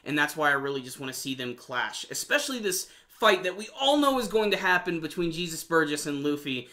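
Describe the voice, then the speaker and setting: A man's voice: fairly high pitch, very animated, a little fast, sharp tone. A man giving an enthusiastic video review of an anime episode in casual, slangy fan talk.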